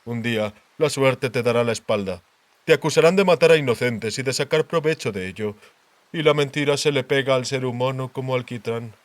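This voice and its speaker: A man voicing a grave, worldly king in an audiobook, speaking in a measured, deliberate, cautionary way. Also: medium pitch, no echo, close to an everyday voice. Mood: grave warning. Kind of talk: audiobook character dialogue.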